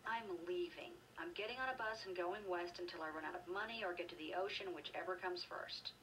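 A woman's voice: lowish voice, a little fast, a bit bright, natural voice. Woman acting a defiant young woman, distant and noisy. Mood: bitter. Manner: sullen, dramatic, emphatic. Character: defiant, bitter young woman, fed up daughter. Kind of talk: video game voice acting. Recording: game audio filmed off a TV, noisy.

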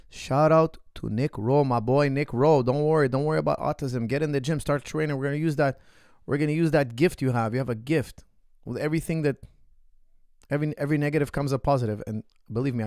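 Gravelly-voiced man coaching on a podcast. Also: mid-range voice, dry recording, clean studio sound. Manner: energetic, repeats phrases for emphasis, gestures widely. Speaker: martial arts coach. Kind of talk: casual podcast Q&A. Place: small indoor room, seated. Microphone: studio mic on boom arm, close.

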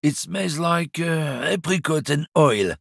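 A husky, crude middle-aged lorry driver struts through his lines with a lively, swooping pitch. He is boastful and sneering, full of bigoted swagger. The game recording is dry and close. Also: slow pace, no room sound, full character voice. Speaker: video game character.

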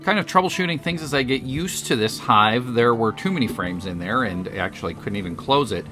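A man narrating his beekeeping work in a relaxed, matter-of-fact, explanatory voice-over. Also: medium pitch, fairly quick. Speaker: beekeeper and channel host. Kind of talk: voice-over narration of a hive install. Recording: voice over background music.

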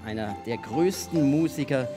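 A man in his forties presenting a live magic act on a theatre stage, with playful, showmanlike patter and deliberate pauses. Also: medium pitch. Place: large theatre stage with seated audience. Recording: music playing behind the voice. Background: music.

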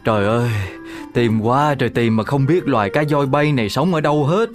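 A man voicing a knowledgeable, excitable dog father in a children's cartoon, explaining things in a fatherly way. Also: mid-range voice, brisk, over music, lightly acted. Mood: thoughtful.

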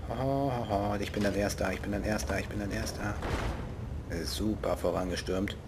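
A young man with a regional German dialect jokes and chats to himself while he plays. His voice sits fairly low, and faint game sound runs behind him. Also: steady tone, normal speed, background noise. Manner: joking, playful, talks to himself. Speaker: let's play host. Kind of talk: casual gameplay commentary.

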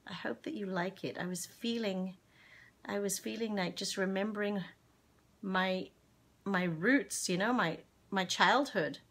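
Slow, bright-voiced cheerful woman host. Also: mid-range voice. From Zimbabwe. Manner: slow, cheerful, affectionate, talks to viewers by name. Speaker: children's singalong host. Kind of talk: casual live-stream chat and singing. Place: home room with plants and curtains. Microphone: webcam at desk distance.

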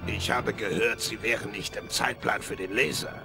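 An actor playing a big, gruff male crime boss heavy in a video game, with a gravelly voice. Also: lowish voice, steady tone, moderate pace, over music. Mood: menacing. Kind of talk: acted video game villain dialogue.

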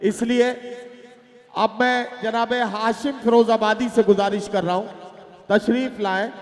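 A man in his fifties hosting a poetry gathering, calling the next poet to the stage and cheering him with loud praise. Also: high-pitched, steady tone, measured pace. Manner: frequent loud wah-wah praise. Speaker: poetry-event host. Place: outdoor stadium stage. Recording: PA sound with long reverb.

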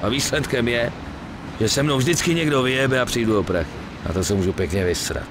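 A man's voice: mid-range voice, noisy background, natural voice. A man voicing a weary, streetwise middle-aged gangster in a Czech video game dub. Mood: weary, grumbling. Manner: colloquial, grumbling, swearing.

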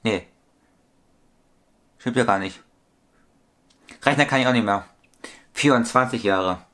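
A man's voice: medium pitch, takes it slowly, a bit bright. A man in his thirties talking casually to a webcam in a small room at home, rambling and repeating himself as he shows a collectible figure. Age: 30s. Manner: rambling, repetitive, corrects his own sums aloud. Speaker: toy collector vlogger. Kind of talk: casual vlog monologue.

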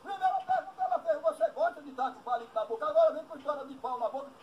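A man acting out a foul-mouthed old country man with a very high, swinging voice. He snaps and hurls insults at anyone who needles him. Faint outdoor noise sits behind him. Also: huge pitch swings, lo-fi sound, heavily acted. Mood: indignant. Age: older. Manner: shrill, insulting, quick to anger. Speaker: comic character. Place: outdoors at a rural country house.

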